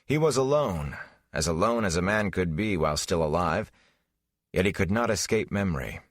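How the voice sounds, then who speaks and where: A man narrating a fantasy audiobook in a solemn, measured, dramatic style. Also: sits low, animated, no room sound, clean studio sound.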